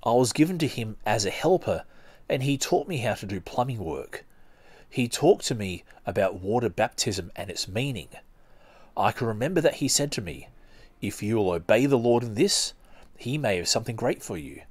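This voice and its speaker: A man with a fairly low voice hosts a podcast in a dry, close sound. He moves between reading aloud and casual commentary. His delivery is halting and rambling. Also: animated, husky, dry recording. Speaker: podcast host.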